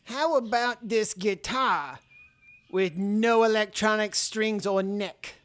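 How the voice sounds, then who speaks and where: Man acting a smooth-voiced, hapless peddler. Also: high-pitched, takes it slowly, fairly bright tone. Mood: casual, hopeful. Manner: hesitant, informal. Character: casual, hapless peddler selling junk. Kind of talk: comic radio sketch advertisement.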